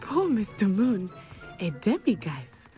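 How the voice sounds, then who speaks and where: A woman's voice: mid-range voice, sing-song, slow, dark tone, soft background music. A woman playing a confident, eager teenage schoolgirl witch in a video game, friendly and politely formal.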